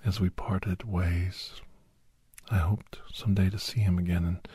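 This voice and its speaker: A man playing a weary, wry doctor telling his adventures in a hushed, deadpan ASMR style with a husky, breathy half-whisper. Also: low voice, lightly acted. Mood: wistful, wry. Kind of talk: ASMR scripted storytelling. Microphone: close, dry microphone.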